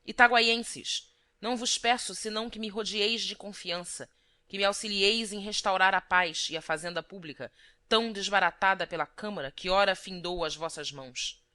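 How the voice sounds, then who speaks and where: A self-important barber turned rebel leader addresses the townsfolk, as voiced by a woman audiobook reader. The delivery is oratorical and emphatic, with a bright, forceful edge. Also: mid-range voice, moderate pace, lightly acted. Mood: pompous, rallying.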